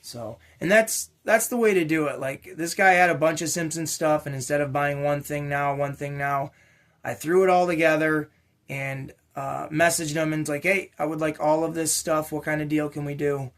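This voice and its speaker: Guy with kinda high voice thats a bit raspy, rambling thru an off the cuff unboxing vlog, into it. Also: fairly even intonation, normal speed. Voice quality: a little raspy. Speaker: toy collecting channel host. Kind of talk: casual unscripted unboxing vlog.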